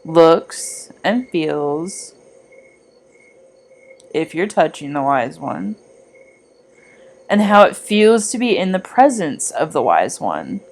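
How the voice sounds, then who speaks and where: A woman reading a scripted guided meditation in a measured, gently instructing manner. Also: deep voice, very animated.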